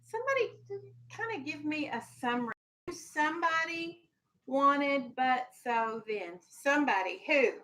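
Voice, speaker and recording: A woman's voice: high voice, lively intonation, unhurried, a bit bright. A woman teacher with a firm, instructive manner, reading a children's storybook aloud and talking to her class in a classroom. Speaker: elementary school teacher.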